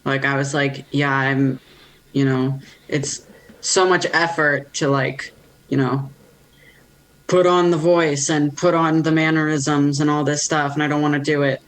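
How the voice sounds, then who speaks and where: A woman's voice: sits low, fairly even intonation, a little slow, poor audio. A woman guest in an interview, telling her story in a wry, self-mocking way. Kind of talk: candid interview answers.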